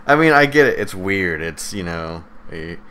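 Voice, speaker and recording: A man's voice: deep voice, animated, moderate pace, bright, forceful tone. A man co-hosting a casual live podcast, joking and blunt, swearing freely as he talks. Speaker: podcast co-host.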